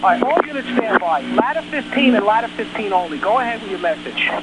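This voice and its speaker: A man's voice: high voice, very animated, a little fast. A man working as a fire dispatcher, giving firm, commanding orders over emergency radio and repeating them. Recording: noisy emergency radio traffic. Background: loud radio noise.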